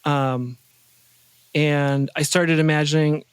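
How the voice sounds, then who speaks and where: A middle-aged man chatting casually as an interview guest, often halting and restarting his sentences mid-thought. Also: fairly high pitch, steady tone, smooth, no room sound. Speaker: podcast guest, composer and sound designer.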